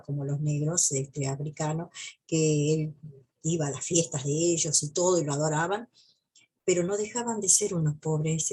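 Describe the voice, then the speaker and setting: A woman with a Buenos Aires province accent talks history to her viewers. Her voice sits low for a woman and has a husky, rough grain. She is reflective and emphatic, circling back on phrases to give them weight. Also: dark tone. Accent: Argentine, Buenos Aires province. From Buenos Aires province, Argentina. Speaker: history video presenter. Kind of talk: informal history commentary to viewers.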